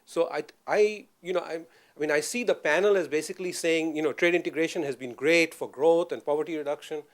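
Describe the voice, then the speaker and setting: Male audience commenter, measured and thoughtful, slightly higher pitch. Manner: thoughtful, measured, many fillers. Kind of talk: public Q&A remark.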